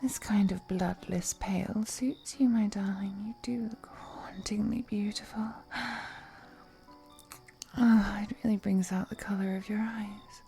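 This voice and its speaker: An ancient vampire seductress, voiced by a woman, whispers slowly in a breathy, mellow voice. She is flirty and possessive, teasing and purring endearments as in an intimate roleplay audio drama, with music behind her. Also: mid-range voice, fairly even intonation. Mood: sated, tender.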